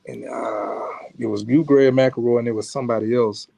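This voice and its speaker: A man's voice: medium pitch, steady tone, moderate pace, creaky, dark tone, no echo. A man hosting a podcast in an easygoing way, full of fillers and addressing the audience as y'all. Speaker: podcast host. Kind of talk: casual chat. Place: podcast studio.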